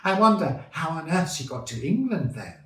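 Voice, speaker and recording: A man voicing a gentle, anxious hyena mother in a children's storybook. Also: on the high side, sing-song, normal speed, echoey room, far from the mic, voice pushed up. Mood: worried.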